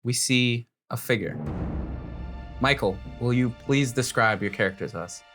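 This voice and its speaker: A man with a mid-pitched voice acts as game master for a tabletop fantasy session. He paints scenes descriptively, addressing the player directly, with music clearly playing underneath. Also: moderate pace. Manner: vivid, descriptive storytelling in second person.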